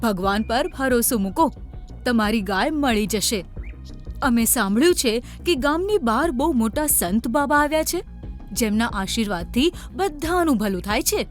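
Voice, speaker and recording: A woman's voice: fairly high pitch, lively intonation, normal speed, faint music behind, lightly acted. A woman voicing an earnest, trusting village headwoman in a children's cartoon, with a devout, pleading manner. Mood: reassuring.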